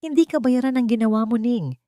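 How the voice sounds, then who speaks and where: A woman's voice: on the high side, a little fast, mellow, no echo, clean studio sound, pitched above the performer's own voice, close to an everyday voice. A woman voicing an earnest, remorseful father in love in an audiobook, solemn and heartfelt. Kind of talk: dramatic audiobook dialogue.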